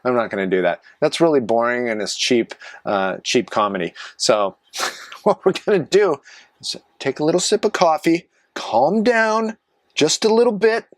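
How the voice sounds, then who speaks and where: A man's voice: mid-range voice, moderate pace, no room sound, clean studio sound. A man in his forties, rambling casually to camera from a small garage office, chatty and self-mocking with wandering asides. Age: 40s. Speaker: vlogger and live streamer.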